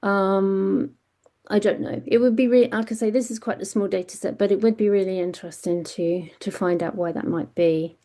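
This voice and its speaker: A woman's voice: medium pitch, fairly even intonation, normal speed, dark tone. A British woman presenting survey results on a webinar, hesitant with frequent ums and self-corrections. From Britain. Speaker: webinar panelist.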